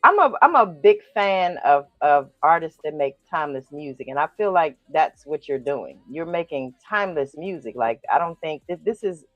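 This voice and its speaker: A woman's voice: low-pitched, sing-song, moderate pace, few pauses, dry recording, lo-fi sound. A woman hosting a radio interview, asking questions with emphatic enthusiasm. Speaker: radio show host.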